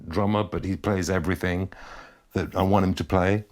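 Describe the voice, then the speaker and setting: A man's voice: sits low, fairly even intonation, moderate pace, a little mellow. Middle-aged guy, chatting easy and reflective about growing up. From Argentina. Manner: easygoing, reflective, chuckles at his own jokes. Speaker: guest musician. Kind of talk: relaxed interview conversation.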